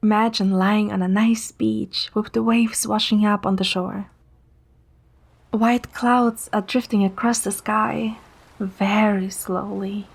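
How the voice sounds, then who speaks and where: A woman playing a playful, caring young woman who narrates a romantic story in the first person, in a gentle, soft manner. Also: mid-range voice, little pitch movement, slow pace, creaky, husky, heavily acted. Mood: gentle, soothing. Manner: gentle, soft, sometimes whispering.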